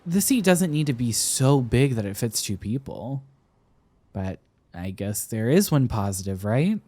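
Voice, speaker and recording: Man acting a slow-talking, flustered young boyfriend. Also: medium pitch, sing-song, dry recording. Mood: affectionate, playful. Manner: hesitant, stammering, soft-hearted. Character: flustered, tender college-age boyfriend, teasing and shy. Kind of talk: intimate romantic roleplay ASMR.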